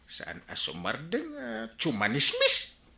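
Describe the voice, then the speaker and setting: An actor with an Ilocano accent playing a hot-tempered, sputtering village captain in a radio comedy-drama. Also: male voice, medium pitch, wildly swooping pitch, takes it slowly, vocal fry, bright, forceful tone, heavily acted. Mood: vengeful. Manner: blustering, sputtering. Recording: roomy, echoing studio sound.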